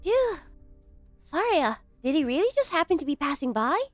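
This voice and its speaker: A woman playing a brisk, scheming research director in a game fan dub. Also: sits high, faint music behind. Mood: relieved, wary. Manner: expressive, brisk.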